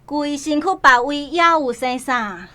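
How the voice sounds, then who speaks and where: A woman playing a bossy, nosy older sister in a radio drama, speaking in a loud, emphatic way. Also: high voice, a little slow. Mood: indignant, insistent. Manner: loud, emphatic questioning and instructing. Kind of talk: Taiwanese radio drama acting.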